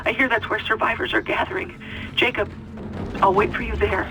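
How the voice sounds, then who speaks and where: A woman's voice: on the high side, steady tone, a little fast, over loud music, natural voice, poor audio. A woman playing a distressed doctor recording an audio log in a video game. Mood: distressed, resolute.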